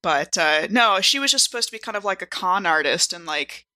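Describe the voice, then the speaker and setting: A woman's voice: fairly low pitch, lively intonation, a little fast, pushed and bright. A woman chatting casually as a podcast cast member, looking back on a character she played. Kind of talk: casual retrospective chat.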